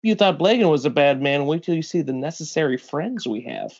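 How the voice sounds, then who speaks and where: A man's voice: on the high side, expressive, brisk, darkish tone, highs cut off. Man reading a wry, seasoned pirate bounty hunter. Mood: wry, explanatory.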